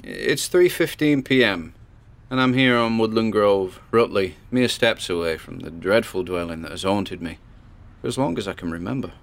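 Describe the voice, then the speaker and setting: A man playing an earnest paranormal investigator, chatting reflectively and haltingly into his recorder in an audio drama. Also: fairly low pitch, animated, gravelly. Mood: tense, purposeful. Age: 20s. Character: Miles Gordon, earnest 27-year-old male paranormal investigator vlogger. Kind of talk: found-tape audio diary.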